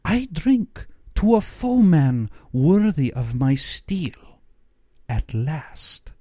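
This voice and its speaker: Audiobook villain: courtly aristocratic hunter general, played by a male narrator, high-swooping, unhurried, theatrical, gleefully menacing. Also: very animated, darkish tone, no room sound, clean studio sound, exaggerated voice. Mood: gleeful, sinister. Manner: courtly, theatrical, savoring his words. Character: urbane, cultured aristocratic general, gleefully sinister big-game hunter.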